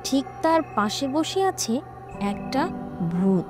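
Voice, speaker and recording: Female storyteller for horror cartoon narration, high-pitched, over a music bed. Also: animated, takes it slowly.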